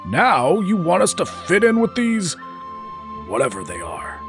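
A brash, greedy young brother, as a male narrator puts him on, with a voice that swings wildly up and down. He talks slowly and bluntly, with a husky edge, and scolds and defies his brother. Soft music plays underneath. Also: high voice, wildly swooping pitch, pitched above the performer's own voice, exaggerated voice. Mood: defiant. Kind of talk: audiobook character voice.

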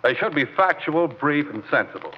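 An actor playing a skeptical, no-nonsense radio listener in a 1940s commercial, curt, dry and condescending. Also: male voice, fairly high pitch, very animated, moderate pace. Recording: muffled, hissy 1940s radio recording.

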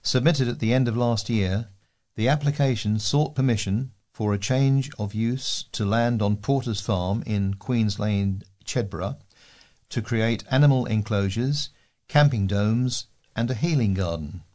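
Man, British accent, slightly low voice, volunteer newsreader, scripted local news reading. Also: measured pace, darkish tone.

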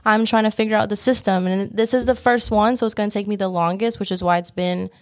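A woman's voice: medium pitch, fairly even intonation, fast talker, no room sound, clean studio sound. A young woman from northern Vietnam, a salon owner talking casually and bluntly about her business plans, swearing now and then. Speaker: lash salon owner, guest. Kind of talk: casual podcast chat.

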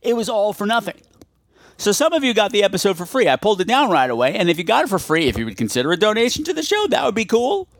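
A man's voice: sits high, huge pitch swings, quick pace, no room sound, clean studio sound. A man hosting a podcast solo in a casual, confessional way, rambling and self-deprecating, repeating himself for emphasis. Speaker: podcast host.

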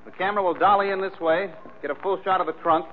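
An actor playing a brisk, bossy film director in an old-time radio drama, commanding and impatient. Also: male voice, high voice, steady tone, a little hiss or hum, natural voice. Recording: tinny vintage radio, bustling background.

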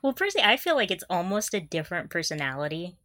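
Bright, giggly woman podcast host. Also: on the low side, big pitch swings, quick pace, no room sound, clean studio sound. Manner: giggly, laughs through sentences. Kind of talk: casual chat, fan discussion.

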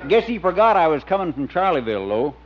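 A man's voice: fairly high pitch, sing-song, quick pace. An actor playing a naive, cheerfully gullible small-town lawman, folksy and guileless, in 1950s radio comedy style. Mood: cheerful, oblivious. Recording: dull, faintly noisy old radio recording.

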